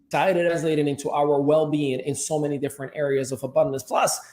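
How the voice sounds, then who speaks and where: An upbeat talk host leads the chat with playful teasing. The voice sits at a middle pitch and rings with the echo of the room around the mic. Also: flat delivery, fairly quick, darkish tone, a little hiss or hum. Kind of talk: casual chat.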